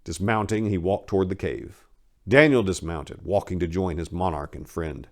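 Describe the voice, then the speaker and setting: A man's voice: low voice, normal speed. A man reading his own story aloud as dramatic narration, in a grave, ominous, emphatic manner.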